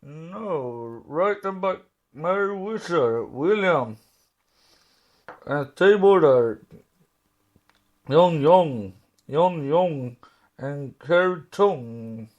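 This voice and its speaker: A man hosting a podcast, talking casually in a halting, hesitant way. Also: a little high, animated, muffled recording. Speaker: podcast host.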